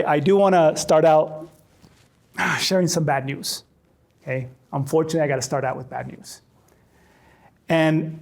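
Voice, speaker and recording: A man's voice: fairly high pitch, a little mellow. A man in his forties from Chile, a storytelling trainer giving a conversational workshop talk to a faculty audience, often repeating words as he restarts. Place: classroom with a live audience.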